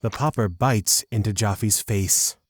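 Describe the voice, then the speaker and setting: A man's voice: on the low side, takes it slowly, breathy edge, no room sound, studio quality. A man narrating a scripted fantasy audio drama.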